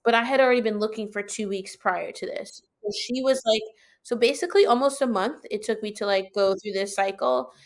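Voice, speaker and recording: A woman with a high-pitched voice telling a personal story in casual, animated conversation, acting out her own reactions as she goes. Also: fairly quick. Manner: animated, colloquial, acts out own quoted reactions. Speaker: podcast guest telling own experience.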